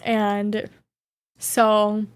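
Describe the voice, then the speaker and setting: A woman's voice: medium pitch, completely flat delivery, drawn-out words, clear, resonant tone, no echo. A woman streamer chatting casually, reading viewer questions aloud and reacting playfully with frequent giggles. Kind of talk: casual live Q&A chat.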